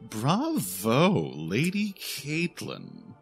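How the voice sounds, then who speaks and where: A man's voice: medium pitch, wildly swooping pitch, creaky, soft background music, voice pushed up, exaggerated voice. A man playing a gloating, mocking villain with a long-held grudge in an audio drama. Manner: drawn-out, mocking.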